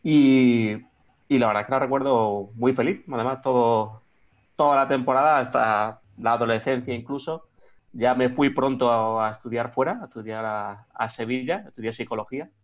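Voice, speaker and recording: A man's voice: medium pitch, normal speed, dull audio. A man in his thirties with an Extremadura Spanish accent, a guest talking in an interview, hesitant, with many restarts and fillers. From Extremadura, Spain. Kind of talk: remote interview conversation.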